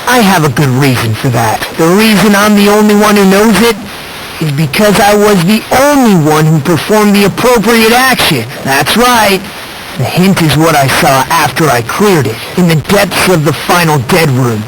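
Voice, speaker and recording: Game role: condescending teen boy, lightish high voice, theatrical and measured, eerily courteous; clearly noisy recording. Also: lively intonation. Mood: smug, explaining. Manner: measured, theatrical, smugly polite. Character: soft-spoken, condescending, eerie teenage boy obsessed with hope. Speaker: video game character voice actor. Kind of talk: scripted game dialogue, mystery exposition.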